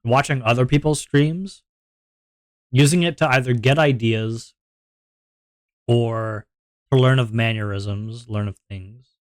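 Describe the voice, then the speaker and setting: A man with a deep voice, streaming and chatting casually to his viewers in a rambling, self-reflective way, often repeating his words. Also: slow, long pauses, no room sound.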